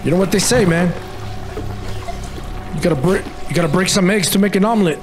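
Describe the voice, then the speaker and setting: A man's voice: sits high, brisk, over music. A man giving casual, slangy gameplay commentary, blunt and often repeating short phrases. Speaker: video game streamer.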